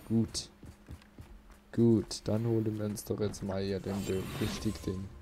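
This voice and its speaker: A man's voice: fairly low pitch, level tone, measured pace. A man giving casual let's play commentary, grumbling to himself and swearing mildly. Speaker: let's player. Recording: noisy, game sound behind voice. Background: video game ambience.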